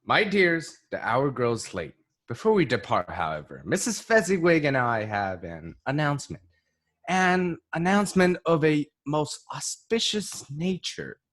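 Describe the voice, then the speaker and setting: A young man playing a jovial, pompous older gentleman and proud father, making grand theatrical announcements in a radio play. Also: fairly high pitch, very animated, measured pace, heavily acted. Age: young adult.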